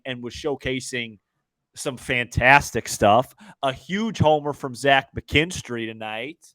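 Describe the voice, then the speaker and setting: A man's voice: mid-range voice, fairly bright tone, no room sound. A man co-hosting a sports podcast, giving casual, opinionated and emphatic commentary, often stumbling over repeated words.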